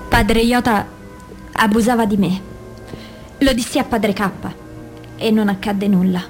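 An actress playing a female abuse survivor, giving grave testimony in measured phrases with deliberate pauses, as in radio theatre. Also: medium pitch, steady tone, faint music behind, natural voice. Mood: grave, sorrowful.

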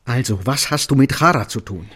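Uneasy, husky-voiced architect. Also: male voice, mid-range voice, expressive, fairly quick, a bit bright. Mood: guarded, probing. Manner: hesitant, terse, subdued. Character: uneasy, hesitant male architect, a troubled accomplice. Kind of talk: German radio play acting.

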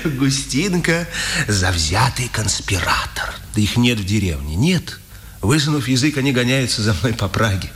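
An actor playing a warm, defiant Czech patriot, expressive and earnest, in a 1950s Soviet radio drama. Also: male voice, mid-range voice, normal speed. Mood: playful. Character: warm, defiant Czech patriot in hiding, playful then impassioned. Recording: noisy 1950s radio recording.

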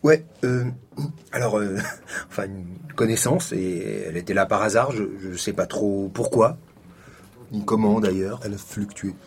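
An actor playing a weary, sardonic police lieutenant in a French audio drama, dry and sometimes halting. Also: male voice, on the low side, a little hiss or hum. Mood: sardonic, flustered. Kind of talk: French audio fiction acting.

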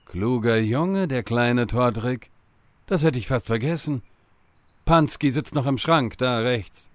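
An actor playing a stern, self-important time-travelling wizard with a measured, dry delivery in a comedic fantasy audio drama. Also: male voice, mid-range voice, no echo, natural voice. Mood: businesslike.

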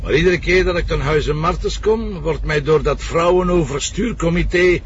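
An actor playing a sardonic, henpecked Flemish police inspector, grumbling wryly in a TV crime drama. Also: male voice, a little high, moderate pace, highs cut off, a little hiss or hum, lightly acted. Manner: wry, grumbling.

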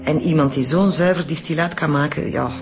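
An actor playing a talkative, precise Flemish forensic pathologist in a radio crime drama. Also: male voice, a little high, a little fast, over music, natural voice. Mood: explanatory. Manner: chatty, explanatory.

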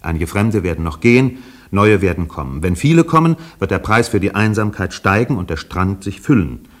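An actor playing a grave, reflective narrator of an island tale, measured and lyrical, in a literary radio play. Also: male voice, deep voice, animated. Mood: resigned.

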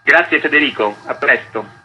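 A man's voice: medium pitch, moderate pace, pushed and bright, dull audio, soft background music. A man speaking as a guest artist in a radio interview, explaining his work in detail. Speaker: guest comic book artist and author.

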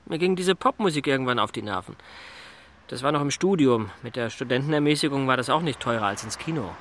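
An actor playing Thomas, a sober, slightly awkward IT consultant, in a literary audio drama with a hesitant manner. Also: male voice, medium pitch, lively intonation, fast talker, fairly bright tone, a little hiss or hum, close to an everyday voice. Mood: plain, shy.

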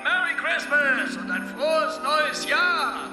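High, echoing jolly Santa Claus. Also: male voice, lively intonation, slow, music in the background, heavily acted. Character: booming jolly small-town Santa Claus calling out. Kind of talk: radio drama acting.

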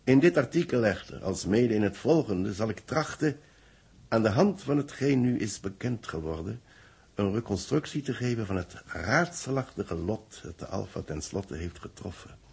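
Grave, husky low-voiced newspaper columnist. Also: male voice, expressive, darkish tone, exaggerated voice. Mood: solemn, formal. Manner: formal, measured, solemn phrasing. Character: grave male newspaper columnist dictating a farewell report. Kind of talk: 1960s Dutch radio drama acting. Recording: 1960s radio recording.

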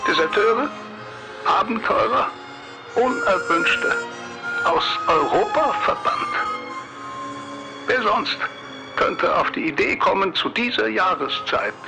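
Exiled revolutionary, high creaky voice, grave, biting, declamatory, radio play. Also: male voice, animated, slow pace, pauses often, exaggerated voice, rough recording. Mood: grave, bitter. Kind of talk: radio drama monologue. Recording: music under the voice.

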